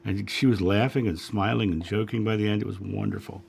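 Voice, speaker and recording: A man hosting a spiritual livestream, chatting casually and greeting viewers by name, his voice a little raspy after a long week. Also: on the low side, fairly even intonation, brisk, a little mellow, slight background noise. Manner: friendly, chatty, reads names from chat with frequent fillers. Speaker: livestream host, spiritual guide. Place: home studio.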